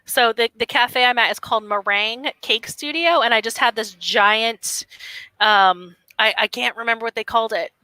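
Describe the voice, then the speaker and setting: Woman livestream host, bright higher voice, chatty and bubbly, close dry sound. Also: normal speed, dry recording, poor audio. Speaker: host, bookseller. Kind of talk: casual livestream hosting. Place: cafe. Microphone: headset.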